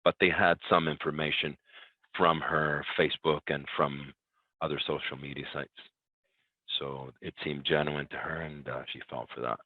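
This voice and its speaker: A man's voice: very deep voice, steady tone, moderate pace, dry recording. A man taking part in an online class, talking casually over narrow phone-like call audio. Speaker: class participant. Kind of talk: casual spoken contribution to a class.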